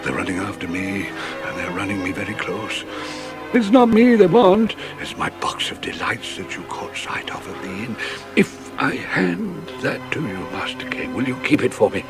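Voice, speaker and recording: Old puppet showman, mysterious, old-fashioned rambling talk, very varied pitch, audiobook drama, background music. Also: huge pitch swings, moderate pace. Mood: conspiratorial, pleading. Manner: old-fashioned, rambling storytelling with chuckles and repetitions. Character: mysterious, urgent old Punch and Judy showman and magician. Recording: music behind the voice.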